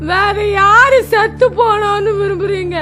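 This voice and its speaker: A woman's voice: very high-pitched, unhurried. A woman voicing a grieving village mother who wails and sobs over her dead son in a storybook drama, with music behind. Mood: bitter reproach. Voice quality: wailing, sobbing lament. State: crying. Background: background music.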